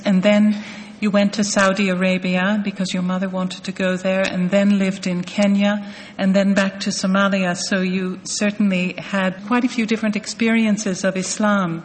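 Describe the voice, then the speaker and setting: A woman, putting formal, measured and articulate questions as an interviewer. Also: medium pitch, a little mellow. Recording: echoey hall with long reverb.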